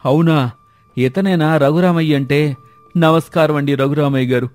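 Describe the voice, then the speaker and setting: A man's voice: a little high, steady tone, moderate pace, a little mellow, no room sound, faint music behind, natural voice. A man playing a hospitable village father in a storybook drama, with an eager, deferential manner. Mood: admiring.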